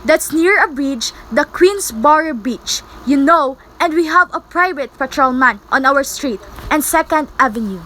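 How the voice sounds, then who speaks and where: An extremely high female voice of a young student actress plays a nervous invalid wife in a radio play. She is fretful and demanding, pressing her point with impatient insistence. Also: lively intonation, a little slow, hardly any pauses, pushed and bright, a little hiss or hum, full character voice, poor audio. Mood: anxious, insistent. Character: anxious, demanding invalid wife, easily exasperated. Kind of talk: amateur radio-play acting.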